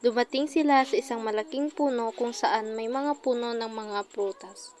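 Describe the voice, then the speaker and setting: A woman's voice: fairly high pitch, moderate pace, darkish tone. A woman narrating a scripted children's puppet story, with faint noise behind.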